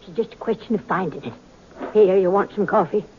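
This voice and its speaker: A woman's voice: medium pitch, a little fast, a little hiss or hum, natural voice. An older woman playing a stubborn, proud old frontier prospector in a radio western, with folksy, defiant talk. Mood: stubborn, assured. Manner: folksy, defiant, rustic grammar. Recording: tinny, band-limited vintage radio recording.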